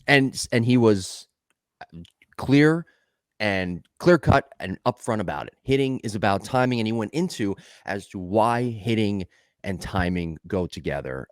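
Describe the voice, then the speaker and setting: A man's voice: lowish voice, animated, measured pace, dry recording, studio quality. A man hosting a sports podcast, chatting in an upbeat, talkative way that drifts off on tangents. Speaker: podcast host. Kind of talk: casual podcast chat.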